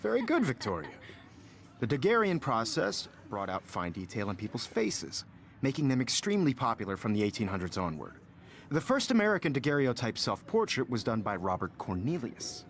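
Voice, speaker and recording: A man's voice: medium pitch, wildly swooping pitch, fairly quick, a little hiss or hum. A man playing a confident, teasing photography teacher in a video game, lecturing in an articulate, wry way. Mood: firm, encouraging.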